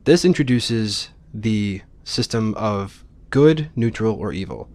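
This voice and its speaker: Low-voiced man explaining a game. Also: lively intonation, slow pace, a little mellow, no echo. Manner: informal, explanatory. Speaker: Let's Play host. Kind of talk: casual gameplay commentary.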